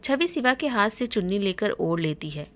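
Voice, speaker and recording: A woman's voice: mid-range voice, big pitch swings, moderate pace, a bit bright. A woman reading a scripted romance story aloud as its narrator.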